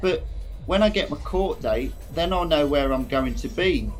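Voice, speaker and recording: A man's voice: on the high side, animated, moderate pace, faint music behind, lightly acted. A man playing a hesitant young prisoner. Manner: plain, simple, hesitant phrasing. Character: young male prisoner, anxious, awaiting trial. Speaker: prisoner on remand. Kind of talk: audio drama monologue.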